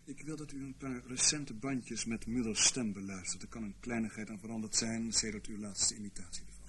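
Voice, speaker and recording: A man's voice: lowish voice, fairly even intonation, brisk, fairly bright tone, lightly acted. A man acting in a Dutch radio play as a brusque, commanding intelligence chief who speaks curtly and with authority. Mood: curt, businesslike. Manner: curt, authoritative.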